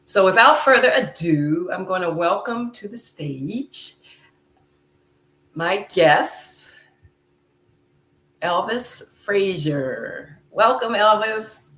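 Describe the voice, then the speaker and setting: Slow-speaking woman podcast host. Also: mid-range voice, lively intonation. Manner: slow, warm-hearted, with many fillers. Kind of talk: casual podcast chat. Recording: echoey room.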